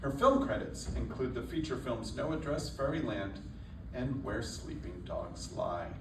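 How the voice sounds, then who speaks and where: A man's voice: medium pitch, unhurried, far from the mic. A man acting as host, reading a scripted introduction at a live stage event. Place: indoor stage before a live audience. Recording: echoey hall from off, clear background noise.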